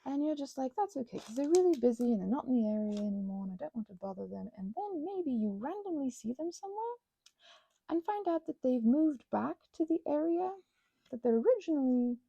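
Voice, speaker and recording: Casting: woman, mellow husky voice, very animated pitch, halting intimate crafting-vlog ramble, reflective and self-interrupting. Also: no echo, muffled recording. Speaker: craft vlogger and ASMR creator. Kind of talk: rambling, intimate casual chat while crafting.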